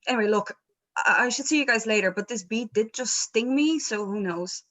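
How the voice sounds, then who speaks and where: Woman reading apologetic band manager, fairly high voice, hesitant, wry, table-read comedy drama. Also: brisk, fairly bright tone. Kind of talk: informal script table read.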